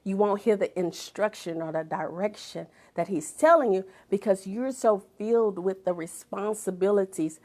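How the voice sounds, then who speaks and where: A woman's voice: mid-range voice, moderate pace, few pauses, a little mellow. A middle-aged woman giving an emphatic inspirational talk, repeating phrases for effect and sometimes dropping to a whisper. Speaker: motivational speaker and author.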